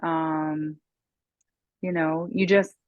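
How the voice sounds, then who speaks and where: A woman's voice: low-pitched, little pitch movement, drawn-out words, no room sound, lo-fi sound. A woman speaking as a guest expert in a casual podcast chat, giving emphatic, matter-of-fact advice. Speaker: guest expert on mold and parasites.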